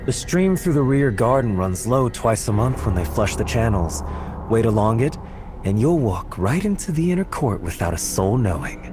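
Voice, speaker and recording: An actor playing a cunning, persuasive schemer in a dramatic video game cutscene, with measured phrasing. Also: male voice, mid-range voice, big pitch swings, music in the background, natural voice. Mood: sly, persuasive. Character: cunning, smooth-talking male schemer recruiting thieves for a heist.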